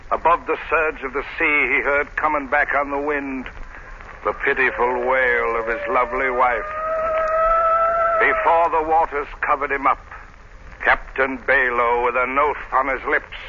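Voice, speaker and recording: A man's voice: medium pitch, fairly even intonation, unhurried, lightly acted. An actor playing a grim, haunted seaman telling an ominous tale in dramatic 1930s radio style. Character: grim, haunted seaman recounting a ghost legend. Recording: dull, noisy 1930s radio recording.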